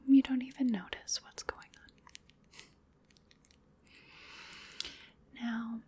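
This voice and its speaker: Slow whispering vampire woman, acted out by a woman. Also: high voice, darkish tone, slightly rough. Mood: sly, teasing. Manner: slow, coaxing, musing, with pet names. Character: playful, polite female vampire, hungry, darkly doting. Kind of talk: whispered ASMR roleplay.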